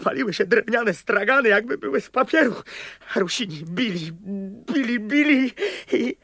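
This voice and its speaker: High-voiced young man, agitated, halting repetitions, radio drama, dry close sound. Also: animated, vocal fry, a bit bright, dry recording, heavily acted. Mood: haunted, agitated. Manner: agitated, halting, repeating words.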